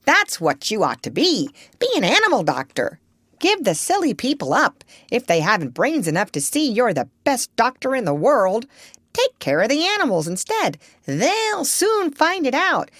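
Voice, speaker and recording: A woman voicing a clever, proud female parrot in a children's storybook, high-voiced, insistent and fond of instructing. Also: huge pitch swings, moderate pace, a bit bright, no echo, clean studio sound, full character voice. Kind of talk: children's storybook character reading.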